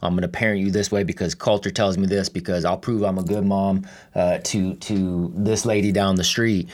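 Low-voiced man hosting a chat. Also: fairly even intonation, moderate pace, a little mellow. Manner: conversational, agreeing, rambling with restarts. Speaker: podcast host. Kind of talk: interview conversation.

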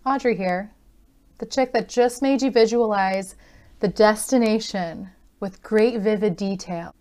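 A woman with a mid-range voice opens a podcast segment. Her delivery is loud, punchy and full of energy. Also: mid-range voice, unhurried. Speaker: podcast host.

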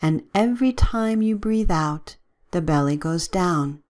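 A woman leading a guided relaxation with gentle, soothing, measured instructions and long pauses. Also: on the low side, expressive.

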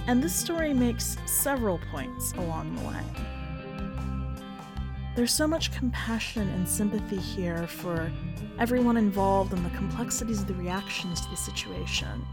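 Woman reading in a measured literary podcast style. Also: medium pitch. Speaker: podcast host, editor and story reader. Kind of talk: literary story narration and podcast commentary.

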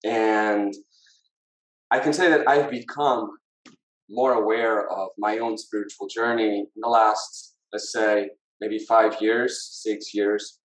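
A man's voice: fairly low pitch, steady tone, slow. A man in his thirties with a Portuguese accent, talking reflectively as a podcast guest and searching for words. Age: 30s. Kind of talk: reflective interview talk. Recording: roomy, echoing sound.

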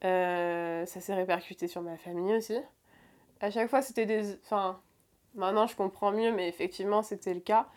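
A woman's voice: mid-range voice, fairly even intonation, a little fast, a little mellow. A woman in her twenties telling a personal story in conversation, hesitant, with many fillers and restarts. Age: 20s. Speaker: guest.

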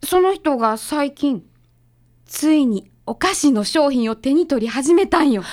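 A woman's voice: high-pitched, lively intonation, unhurried, a little mellow. A woman playing a breezy, confident detective's assistant with a Kansai accent in a chatty radio-drama style. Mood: concerned. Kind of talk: radio drama acting, conversational.

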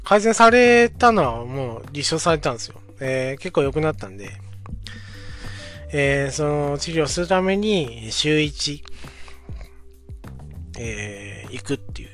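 A man's voice: medium pitch, a bit bright. A man in his forties telling a childhood story on his own podcast, speaking politely and unhurriedly, with many filler sounds. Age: 40s. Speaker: podcast host. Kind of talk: casual storytelling monologue.

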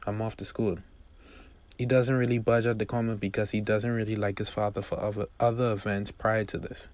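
A man's voice: lowish voice, little pitch movement, a little fast, darkish tone. A man reading a movie script aloud, narrating scene headings and action as its amateur writer.

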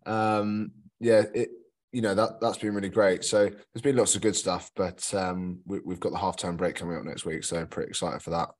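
A man with a low-pitched voice, co-hosting a casual podcast. His conversational delivery is understated, with a dry sense of humour. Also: flat delivery, normal speed. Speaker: podcast co-host.

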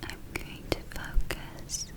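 A woman whispering in an ASMR roleplay as a gentle witch healer, speaking softly and soothingly with long, halting pauses. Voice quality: breathy whisper. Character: gentle, soothing witch giving a magic spa treatment.

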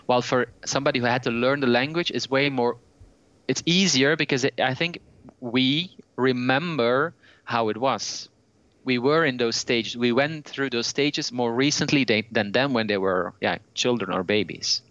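A man's voice: mid-range voice, normal speed, a bit bright, dry recording, highs cut off. A man talking as a guest language teacher in a casual podcast conversation. He explains his views at length and thinks aloud.

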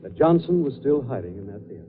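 A man plays a decisive, brave detective in an old radio mystery. The voice is medium in pitch with wide swings. It rings in a long reverb on a narrow, tinny recording. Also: very animated, fast, background noise, lightly acted. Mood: measured explaining. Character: brave, decisive gentleman detective protecting his companion. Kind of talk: 1940s radio drama acting.

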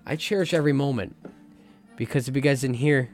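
A young adult man hosting his own podcast, talking in a rambling, confessional way, his voice a little hoarse as it recovers. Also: mid-range voice, a little fast, a little mellow. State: slightly hoarse, recovering lost voice. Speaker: podcast host. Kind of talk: casual solo podcast talk. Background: faint jazz music.

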